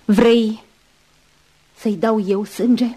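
A woman's voice: a little high, steady tone, mellow, husky. An actress playing an anxious, devoted woman, earnest and pleading, in a Romanian radio play. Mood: worried, pleading. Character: anxious, devoted, self-sacrificing woman helping the doctor. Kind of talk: Romanian radio theatre acting.

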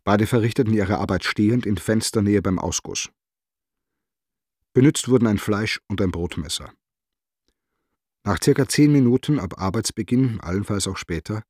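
An actor playing an impassive official who reads a police record in a matter-of-fact, bureaucratic way in a radio drama. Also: male voice, lowish voice, moderate pace, long pauses, darkish tone, husky, no room sound, studio quality. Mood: detached, factual. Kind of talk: formal read-out report in a radio drama.

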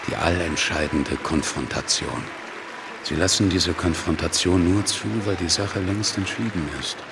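A man's voice: low-pitched, measured pace, noisy background. An actor playing an urgent, fatalistic male revolutionary with dramatic intensity in a radio drama. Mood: fatalistic, intense. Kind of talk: German radio drama acting. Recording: loud music and crowd behind voice. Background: loud music, crowd.

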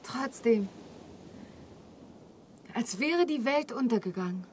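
A woman's voice: a little high, expressive, takes it slowly, a little mellow. An actress playing a wistful, vulnerable young woman in a German radio play, hesitant and pensive in her delivery. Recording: clearly audible background ambience. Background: ambience noise.

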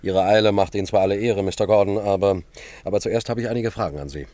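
A man's voice: on the low side, fast, dark tone, natural voice. A man playing a composed police sergeant who narrates a radio crime drama in a precise, formal manner. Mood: official, probing. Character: composed police sergeant recounting an old case.

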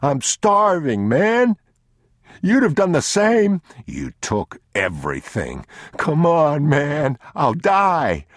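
A man's voice: rumbling low, animated, takes it slowly, husky, no room sound, studio quality, exaggerated voice. A man voicing a starving, shivering thief in an audiobook, begging in a strained, desperate way.

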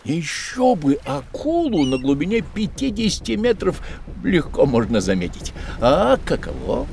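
An actor playing a boastful, whimsical adventuring sea captain in a theatrical, self-satisfied way for a children's audio drama. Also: male voice, on the high side, wildly swooping pitch, measured pace, vocal fry, a little mellow, slight background noise, full character voice. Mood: proud, emphatic. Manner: theatrical, self-satisfied, exclaiming, talks in short questions.

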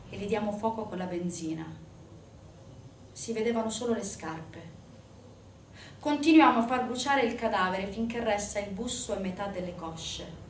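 A woman stage actress giving a grave, measured theatrical narration with dramatic pauses. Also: mid-range voice, slight background noise. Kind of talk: theatrical narration of a true crime story. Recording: echoey stage, distant mic, music.

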